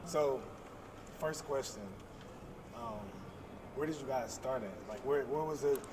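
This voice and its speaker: A man's voice: mid-range voice, unhurried. A man hosting a panel, asking questions in a casual interviewing style. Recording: distant voice in noisy venue. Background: ambient venue noise.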